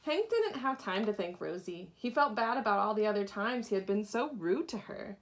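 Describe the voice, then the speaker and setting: A woman reading a children's picture book aloud with dramatic pauses, acting out the story. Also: medium pitch, expressive. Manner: deliberate dramatic pauses, acts out characters. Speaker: storybook reader, teacher.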